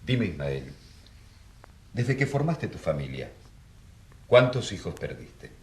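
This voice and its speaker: Fiery village priest on trial, radio play, very animated pitch, echoey room. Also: male voice, takes it slowly, gravelly, exaggerated voice. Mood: grave questioning. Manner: rhetorical, earnest, indignant. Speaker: actor. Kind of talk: radio drama acting.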